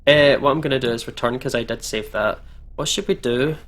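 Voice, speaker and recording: A man's voice: mid-range voice, fairly quick, a bit bright. A man hosting a gaming channel, chatting casually as he plays. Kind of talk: casual playthrough chat.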